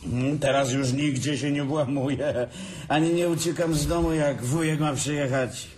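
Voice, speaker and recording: A man's voice: fairly high pitch, fairly even intonation, normal speed, a little mellow, lightly acted. An actor playing a bitter, drunk older brother who mocks and laughs at his sibling in a radio drama. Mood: taunting. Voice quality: sneering, laughs often.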